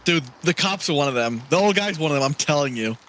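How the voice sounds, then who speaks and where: A man gives casual co-player commentary on a game stream. His pitch moves in an animated way and his tone is bright, with music in the background. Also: fast talker. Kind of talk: casual chat.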